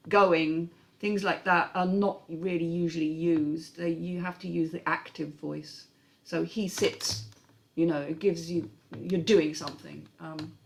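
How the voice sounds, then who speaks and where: A woman hosting a panel and giving measured, candid feedback on a script. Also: on the low side. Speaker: host and script critic.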